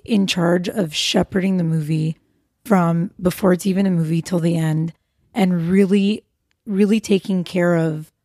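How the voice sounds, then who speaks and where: Woman, from los angeles, film producer guest, chatty with fillers, warm low voice, dry studio sound. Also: no room sound. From Los Angeles, USA. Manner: eager, chatty, many fillers and restarts. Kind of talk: casual interview chat.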